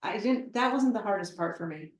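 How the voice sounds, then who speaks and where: Halting woman talking in an echoey room. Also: medium pitch, expressive. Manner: thoughtful and halting, with many fillers and restarts. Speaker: literary translator, interview guest. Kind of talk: live conversation at a public book talk. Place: event room at a literary center. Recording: roomy echo, voice from far off the mic.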